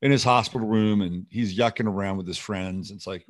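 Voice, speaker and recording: A man's voice: on the low side, a little fast. A man hosting a show with rambling, skeptical, sardonic and often profane unscripted political commentary.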